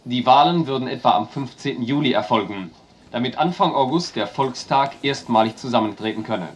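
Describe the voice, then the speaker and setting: An actor playing a news announcer who reads a political report in a neutral, reportorial tone in a radio play. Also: male voice, medium pitch, brisk, slight background noise, natural voice. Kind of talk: historical radio drama acting.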